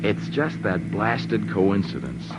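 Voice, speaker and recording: A man's voice: on the low side, lively intonation, takes it slowly, noisy background. An actor playing a breezy, wisecracking young male author in 1940s radio drama style, joking and teasing. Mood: charming.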